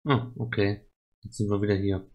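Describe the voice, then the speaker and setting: Male game streamer, lowish voice, flat intonation, casual, thinking out loud. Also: normal speed, dull audio. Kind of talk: casual live playthrough commentary.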